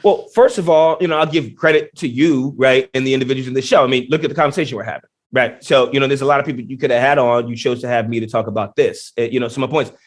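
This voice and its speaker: A man, a genetics professor, speaking in a podcast interview with emphatic, animated explanations full of rhetorical questions. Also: medium pitch, quick pace, few pauses. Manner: energetic, emphatic, many restarts and rhetorical questions.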